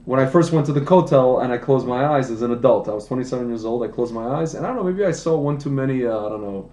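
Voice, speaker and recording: Rambling animated man in echoey room. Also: medium pitch, quick pace. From United States. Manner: rambling, animated, with frequent restarts and asides. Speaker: wine business founder, podcast guest. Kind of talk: casual conversational storytelling. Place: indoor room, livestream podcast.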